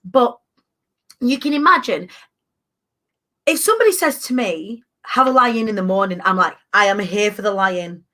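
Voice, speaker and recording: A woman in her thirties hosting a casual chat, animated and emphatic with playful asides. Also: medium pitch, normal speed, pauses often. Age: 30s. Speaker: podcast host.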